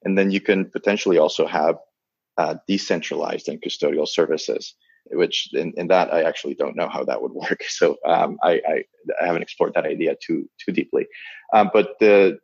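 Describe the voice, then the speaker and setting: Low gravelly man, halting and thoughtful. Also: darkish tone, dry recording, highs cut off. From Dominican Republic. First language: Spanish. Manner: halting, many restarts and fillers. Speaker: guest. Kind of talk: thoughtful interview talk.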